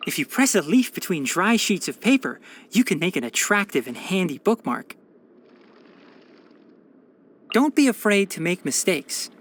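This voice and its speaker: A young fox-eared forest ranger from a fantasy video game. He is a practical scholar who speaks in articulate, measured phrases with a dry, wry edge. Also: high-pitched, big pitch swings, a bit bright, full character voice. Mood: composed, instructive. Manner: precise, articulate, matter-of-fact with dry sarcasm. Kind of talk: scripted video game character voice lines.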